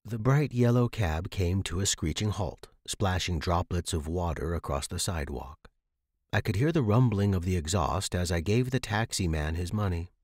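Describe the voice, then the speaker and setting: Low-voiced man narrating fiction. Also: expressive, moderate pace, dry recording, studio quality. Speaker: audiobook narrator. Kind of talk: scripted dramatic fiction narration.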